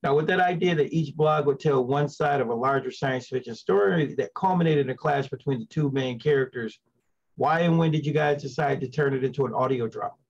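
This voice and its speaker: A man's voice: mid-range voice, brisk, slightly rough. Slightly dull-sounding male show host. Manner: repeats "you know" as filler. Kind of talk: casual livestream interview. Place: indoors on a video call.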